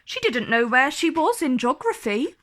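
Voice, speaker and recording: A woman reading a children's storybook aloud in an expressive, deliberate storytelling manner. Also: sits high. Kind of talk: scripted storybook narration read aloud.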